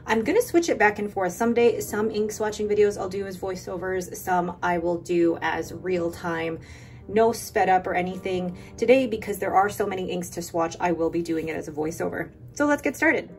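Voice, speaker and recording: A woman's voice: fairly low pitch, moderate pace, a little mellow. A woman hosting a stationery channel, chatting enthusiastically in a casual voice-over, with rambling asides. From Filipino heritage. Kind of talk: casual voiceover while swatching inks. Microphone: voice-over recorded separately.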